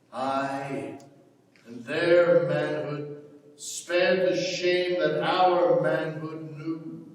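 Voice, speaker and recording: Stern, land-proud patriarch played by a man, stage reading; slow, highish voice, heard from afar in a ringing hall. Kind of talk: dramatic stage reading of an Irish rural play. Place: large reverberant hall, recorded from afar. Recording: echoey hall, distant microphone.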